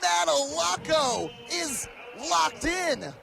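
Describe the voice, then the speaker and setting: A man in his early twenties doing live radio basketball play-by-play, with an emphatic, excited delivery that rises to shouts. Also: very high voice, drawn-out words, vocal fry, crowd noise. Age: early 20s. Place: courtside in a large arena.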